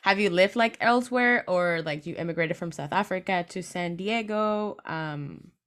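A woman's voice: on the low side, moderate pace, fairly bright tone. A young woman hosting a podcast interview, asking questions with many "like"s and "um"s and giggling often. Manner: giggly, lots of "like" and "um". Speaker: podcast host. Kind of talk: casual interview.

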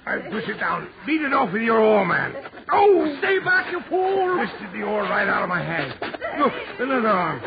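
Frantic seaman, high-pitched and animated, 1940s radio horror melodrama. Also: normal speed, creaky, over a noisy crowd, exaggerated voice. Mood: frantic alarm. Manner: frantic, exclamatory. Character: panicked seaman fighting off a sea monster. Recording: muffled, noisy 1940s radio recording.

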